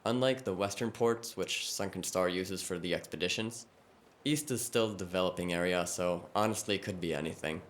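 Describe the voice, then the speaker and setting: A fairly low male voice by an actor playing the well-informed one in a cyberpunk crew. He explains things plainly and matter-of-factly in an audio drama. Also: brisk, natural voice. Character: knowledgeable, matter-of-fact male crew member and driver.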